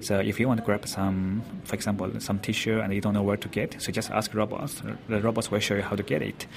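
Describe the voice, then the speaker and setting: A man's voice: on the low side, steady tone, quick pace, creaky. A man with a Chinese accent explaining things in an interview in hesitant, non-native English. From China. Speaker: robotics company representative. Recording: noisy recording at a public event.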